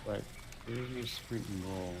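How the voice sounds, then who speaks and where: A man's voice: on the low side, measured pace. Man chatting over a co-op game. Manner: thoughtful, explains points with careful qualifiers. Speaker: co-op gaming partner. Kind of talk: casual gameplay chat. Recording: clear background noise.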